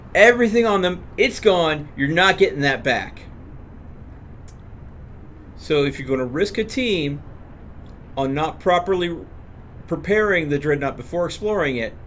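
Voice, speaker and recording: A man's voice: on the high side, fairly bright tone, a little hiss or hum. A man streaming a video game, explaining what happens on screen as he plays. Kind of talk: live gameplay commentary, explanatory.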